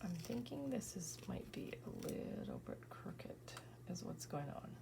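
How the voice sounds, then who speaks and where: A woman in her thirties, tired from an early morning, softly thinking aloud and often whispering as she works on a scrapbook page. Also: on the low side, sing-song, a little slow, gravelly. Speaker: scrapbooking crafter. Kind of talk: casual crafting vlog narration.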